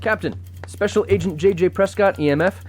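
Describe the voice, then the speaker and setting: A man's voice: sits high, fairly quick, faint music behind, lightly acted. A man playing a fussy, nervous, by-the-book federal agent in an audio comedy drama. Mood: officious. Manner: officious, anxious.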